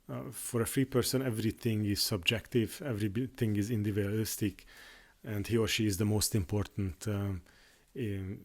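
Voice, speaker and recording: A man with a fairly low voice, a native Hungarian speaker talking without a script. He speaks haltingly, stumbling over restarts and filling pauses as he works his way through each thought. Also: darkish tone. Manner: halting, many restarts and fillers. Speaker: YouTuber. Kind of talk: unscripted monologue on faith.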